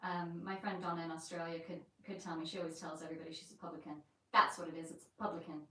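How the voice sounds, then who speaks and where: A woman's voice: on the low side, little pitch movement, fast talker, a bit bright. A woman with an American accent mixed with a light Yorkshire lilt, hosting a chatty, rambling live stream from inside an old house. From England and the United States. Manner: chatty and rambling, with many fillers. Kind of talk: casual live-stream chat. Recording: very echoey room, mic at a distance.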